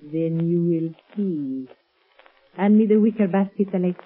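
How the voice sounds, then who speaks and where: Exotic villainess, low and breathy, formal and taunting, coolly menacing, vintage radio drama. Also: female voice, a little slow. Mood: menacing, deliberate. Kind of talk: 1930s radio acting. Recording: muffled 1930s radio recording.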